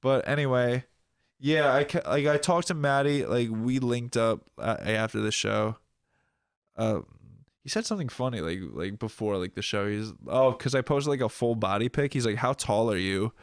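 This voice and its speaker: A young man talks in a loose, rambling way, with a filler "like" in nearly every phrase and frequent swearing. His nose is stuffed up, which thickens his voice. He sounds relaxed, as if he is chatting with a friend. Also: medium pitch, normal speed, dry recording, clean studio sound. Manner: rambling, heavy use of "like", swearing. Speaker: podcast guest, rapper. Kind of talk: casual chat.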